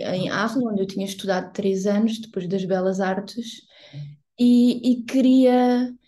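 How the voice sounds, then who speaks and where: A middle-aged woman, a photographer answering interview questions thoughtfully, often pausing to search for words. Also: a little high, dark tone. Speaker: guest photographer.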